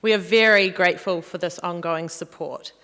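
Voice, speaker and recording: A woman making measured, formal ceremony announcements as master of ceremonies. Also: fairly low pitch, fairly bright tone. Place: large indoor hall, public address. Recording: echoey hall, long reverb.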